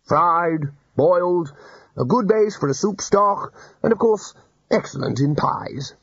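A man reading aloud and voicing a brisk, hearty elderly male wizard leader. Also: fairly high pitch, big pitch swings, slightly rough, dry recording, voice pushed up, heavily acted. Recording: dull lo-fi audiobook recording.

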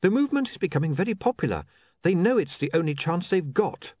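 Narrator as a sharp, practical young woman in an audiobook, raised pitch, dry read. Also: very animated, quick pace, dry recording. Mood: brisk. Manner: crisp, matter-of-fact.

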